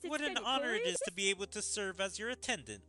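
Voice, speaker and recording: An eager female attendant from an anime game, read out by a man, devoted and deferential. The voice is very bright and swings in pitch with excitement. Game music plays faintly underneath. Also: quick pace, full character voice.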